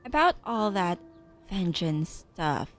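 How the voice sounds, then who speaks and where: Unhurried woman reacting while gaming. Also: fairly low pitch, very animated, music in the background. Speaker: gamer. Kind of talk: casual gameplay reactions.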